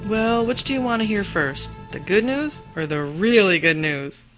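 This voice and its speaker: A woman acting a warm, teasing, supportive wife in an amateur radio drama, chatty and affectionate. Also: mid-range voice, expressive, moderate pace, faint music behind, close to an everyday voice. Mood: excited.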